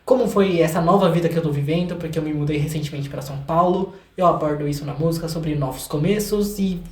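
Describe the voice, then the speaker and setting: A young Brazilian man talking casually and candidly as a guest in an interview, with an expressive manner. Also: on the high side, fast. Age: young adult. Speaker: guest, singer and songwriter. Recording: roomy, echoey room sound.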